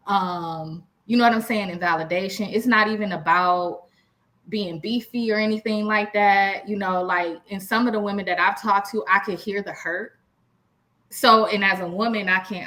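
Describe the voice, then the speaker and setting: Woman, American accent, sharp bright voice, medium pitch, frank slangy delivery, emphatic, casual podcast co-host. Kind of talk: casual relationship chat with anecdotes.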